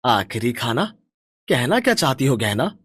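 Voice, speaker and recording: Man acting a husky, swooping lazy young farmer. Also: mid-range voice, sing-song, a little slow, a bit bright, dry recording, clean studio sound, full character voice. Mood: puzzled. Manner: complacent, coaxing, swooping intonation. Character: lazy, sleep-loving young village farmer husband. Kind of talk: animated moral story dialogue.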